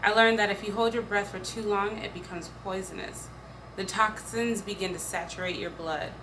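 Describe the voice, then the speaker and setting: A woman's voice: mid-range voice, fairly even intonation, normal speed, fairly bright tone, poor audio. Woman performing spoken-word poetry. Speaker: poetry reader. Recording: faint background noise.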